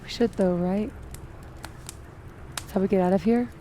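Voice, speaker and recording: A woman's voice: mid-range voice, fairly even intonation, moderate pace, mellow, slight background noise, close to an everyday voice. An actress playing a wounded, defiant young woman in a video game, sardonic, bitter and profane. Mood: soft, resigned. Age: young adult. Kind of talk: naturalistic video game acting.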